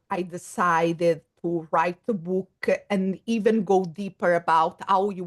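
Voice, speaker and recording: A middle-aged woman from Portugal with a Portuguese accent, talking through her ideas thoughtfully in a casual interview and often pausing on fillers. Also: on the low side. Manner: thoughtful, searching for words with many fillers. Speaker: guest psychologist and coach.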